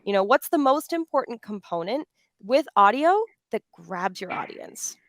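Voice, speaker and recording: A woman's voice: medium pitch, sing-song, moderate pace, a bit bright, no echo, clean studio sound. A woman moderating a panel conversationally, articulate and affirming as she talks.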